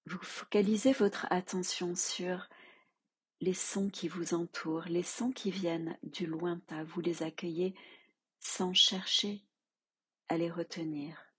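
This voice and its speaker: A woman teaching yoga nidra, leading a guided relaxation in a soft, calm, measured way with long pauses. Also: on the low side, breathy edge.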